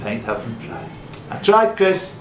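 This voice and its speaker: Animated male quiz host in an echoey room. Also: on the high side, slow pace. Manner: jokey, teasing banter with contestants. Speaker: quiz host and question reader. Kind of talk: live pub quiz hosting with banter. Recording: echoey room with audience noise. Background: crowd of quiz players.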